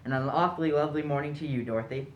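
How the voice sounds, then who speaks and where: A foppish young society gentleman played by a man in a radio play. He is jolly, naive and eager to please, happy to poke fun at himself. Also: a little high, rapid-fire, muffled recording, heavy background noise, close to an everyday voice. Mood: cheerful, polite. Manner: jolly, foppish, self-deprecating. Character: genial, naive upper-class young gentleman suitor. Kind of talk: stage-style radio play acting.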